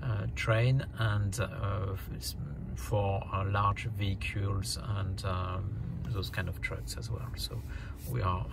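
A man narrating a casual travel vlog in a hesitant, halting way, often repeating words. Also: fairly low pitch, level tone, creaky, heavy background noise. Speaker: vlogger.

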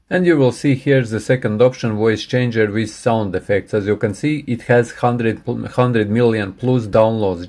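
A man's voice: mid-range voice, darkish tone. Man narrating a step-by-step tutorial. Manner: instructional, step-by-step. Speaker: host of a tech tips channel. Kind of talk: scripted app tutorial narration.

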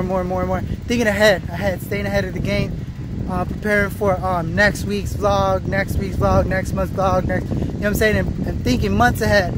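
High-pitched man rambling on a walk. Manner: rambling, repetitive, self-interrupting, slangy. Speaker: vlogger. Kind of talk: casual walking vlog monologue. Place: outdoors on a roadside path. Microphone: phone held at arm's length. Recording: outdoor traffic and ambient noise.

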